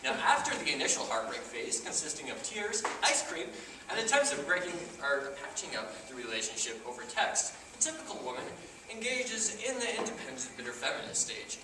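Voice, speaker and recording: Young male, actor as awkward pedantic brainiac, stage comedy, animated pitch, very echoey hall. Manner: pedantic, formal, wordy. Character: awkward, analytical young man named Steve, pedantic know-it-all. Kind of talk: amateur stage comedy acting. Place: theater stage, indoors. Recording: echoey theater, distant mic, clearly noisy.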